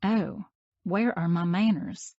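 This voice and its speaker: A man's voice: high voice, unhurried, darkish tone, no echo, lo-fi sound, pitched above the performer's own voice. A man putting on a sassy teenage girl.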